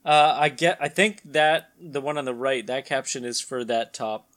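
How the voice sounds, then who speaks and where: A man's voice: medium pitch, normal speed, fairly bright tone. A man hosting a casual comedy read-along, riffing between bits of dramatic comic narration. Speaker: podcast host and narrator.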